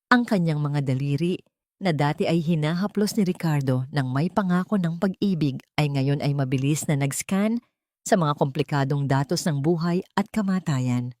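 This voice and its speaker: A woman narrates a scripted drama in a slightly low voice. Her delivery is measured and dramatic, and the sound is dry and close. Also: a little mellow, dry recording. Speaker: audio story narrator.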